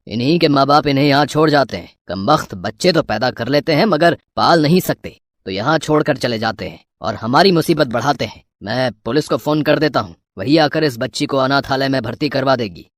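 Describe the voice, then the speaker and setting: A woman voicing a gruff, grumbling male station master, complaining in a matter-of-fact way. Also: rumbling low, animated, brisk, hardly any pauses, dry recording, studio quality. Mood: irritated.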